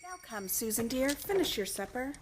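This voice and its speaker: A woman playing a gentle, reassuring mother in a radio play. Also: fairly high pitch, sing-song, normal speed, over loud music.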